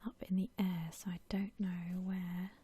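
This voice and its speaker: Slow, breathy whispering woman. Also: lowish voice, level tone. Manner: gentle, unhurried, musing aloud. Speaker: host of a gaming ASMR channel. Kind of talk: whispered ASMR game commentary.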